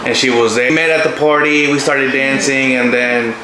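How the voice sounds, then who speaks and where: A man's voice: mid-range voice, flat delivery, normal speed, crowd noise. A man in his twenties chatting casually to camera as a channel co-host, joking and slangy. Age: 20s. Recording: roomy echo, clear background noise.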